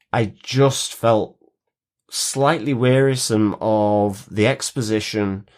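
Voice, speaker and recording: A man's voice: lowish voice, a little mellow, clear, resonant tone. Man co-hosting a TV recap podcast. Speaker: podcast co-host.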